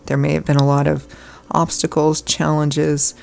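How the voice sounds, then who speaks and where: Hoarse, gravelly woman tarot reader. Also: low voice, monotone, normal speed, a little mellow. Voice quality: froggy. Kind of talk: casual astrology reading.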